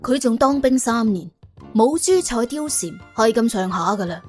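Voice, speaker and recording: A woman with a Cantonese accent tells a story in a highish, very animated voice. The delivery is wry and dramatic, with soft music running underneath. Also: moderate pace. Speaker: audiobook narrator. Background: soft background music.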